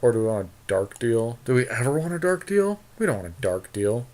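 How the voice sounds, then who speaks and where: A man's voice: mid-range voice, very animated, fairly quick, darkish tone. A man co-hosting a podcast, chatting casually and thinking aloud as he plays a card game, with some offhand swearing. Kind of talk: casual chat while drafting a card game.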